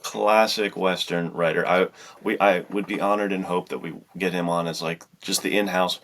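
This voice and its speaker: A man's voice: low-pitched, steady tone, moderate pace, a bit bright, a little hiss or hum. A man co-hosting a podcast in a casual, slangy, hyped way, heaping emphatic praise on books. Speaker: podcast co-host and writer. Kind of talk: casual book chat.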